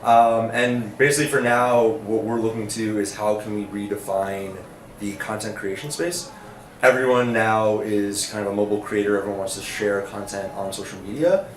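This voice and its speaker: A man's voice: lowish voice, flat delivery, moderate pace. A man speaking casually and upbeat as a panelist, with many fillers. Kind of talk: panel discussion. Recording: echoey room, faint noise.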